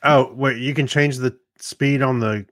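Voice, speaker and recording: A man's voice: mid-range voice, steady tone, measured pace, a bit bright, no room sound, studio quality. A middle-aged man co-hosting a podcast, chatting in an agreeable, conversational way about films and TV shows. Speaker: podcast co-host.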